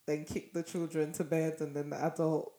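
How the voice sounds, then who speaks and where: Woman podcast co-host, hoarse froggy low voice, chatty and laughing, echoey room. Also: moderate pace. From Nigeria. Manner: laughs often, animated. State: losing her voice. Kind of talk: casual chat.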